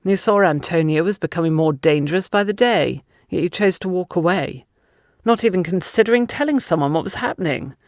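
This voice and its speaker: Woman reading as a stern, accusing man, lowish voice. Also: lively intonation, darkish tone, dry recording, studio quality. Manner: blunt, accusing, deliberate emphasis. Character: stern man interrogating and scolding a young woman. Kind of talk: audiobook character dialogue.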